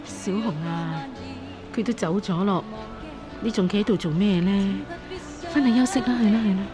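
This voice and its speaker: A woman with a Hong Kong Cantonese accent acting a gentle, resigned middle-aged foster mother in a radio drama, sighing and soothing as she speaks. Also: mid-range voice, normal speed, music in the background, close to an everyday voice.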